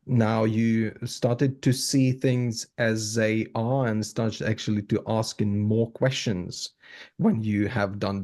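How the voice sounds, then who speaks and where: A man from Ukraine with a Ukrainian accent, giving reflective interview answers in an earnest, halting way with repeated words. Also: fairly low pitch, warm tone. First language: Ukrainian or other non-English. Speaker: podcast guest.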